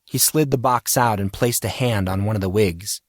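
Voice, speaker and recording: A man narrating scripted fiction in a measured, expressive storytelling manner. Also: on the low side, no room sound, studio quality. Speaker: audiobook narrator.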